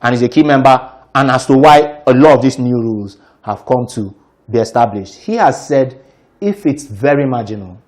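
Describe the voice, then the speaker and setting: A man's voice: mid-range voice, lively intonation, moderate pace, a little mellow. A man giving assertive, explanatory football analysis on a sports talk show.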